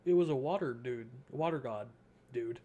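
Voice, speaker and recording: A man's voice: mid-range voice, moderate pace, mellow, muffled recording. A young man giving casual, slangy, joking let's-play commentary as a gaming streamer.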